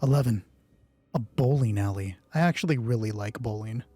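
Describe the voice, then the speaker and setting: A man hosting a solo roleplay game, narrating it dramatically and deliberately in short phrases. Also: lowish voice, dark tone, husky, dry recording, faint music behind.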